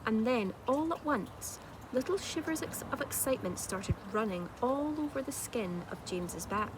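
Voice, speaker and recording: A woman narrating a children's storybook like a teacher reading aloud, with measured, dramatic delivery. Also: a little high, lively intonation. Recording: clearly noisy home-made recording.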